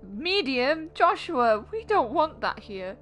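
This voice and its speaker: A woman's voice: high voice, big pitch swings, takes it slowly, fairly bright tone. A woman chatting casually as a player in an online game, sweary and jokey. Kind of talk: casual gaming chat. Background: game music.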